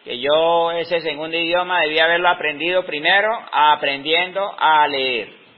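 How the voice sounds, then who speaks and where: A Colombian man in his fifties, giving an unscripted talk on teaching with slow, reflective pauses in the middle of his sentences. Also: a little high, steady tone. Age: 50s. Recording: dull lo-fi recording.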